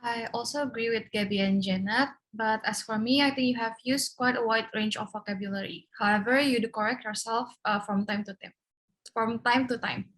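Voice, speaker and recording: A woman's voice: fairly high pitch, fairly even intonation, a little fast, a bit bright. A young woman giving evaluative feedback after a mock English speaking test, a little repetitive. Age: young adult. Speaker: peer examiner. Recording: far from the mic.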